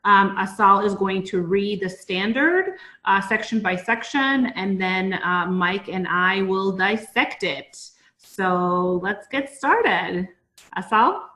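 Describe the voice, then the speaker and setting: Woman, host, bright forceful voice, slow pace, casual introduction, roomy sound. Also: mid-range voice, expressive. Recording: echoey room.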